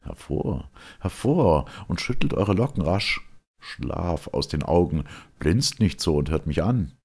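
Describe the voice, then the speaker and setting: A man's voice: sits low, very animated, a little slow, darkish tone, breathy edge, exaggerated voice. A man voicing an ancient, boastful hag who tells tales in theatrical, declaimed verse drama. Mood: commanding. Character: ancient sinister hag storyteller Phorkyas, animated and boastful.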